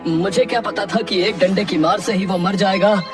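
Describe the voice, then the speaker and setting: Male actor as a bullying cartoon wrestler, slightly high voice, emphatic, distant sound, music behind. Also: fairly even intonation, normal speed, lightly acted. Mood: flustered. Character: strong, hot-tempered, dim-witted village wrestler. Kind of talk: Hindi cartoon story voice acting.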